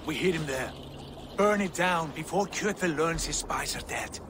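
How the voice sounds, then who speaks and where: A man's voice: a little high, animated, a little slow, noisy background. A man playing a proud, ambitious Viking warrior prince in a video game, grand and commanding in delivery. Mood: urgent, angry. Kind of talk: dramatic video game acting.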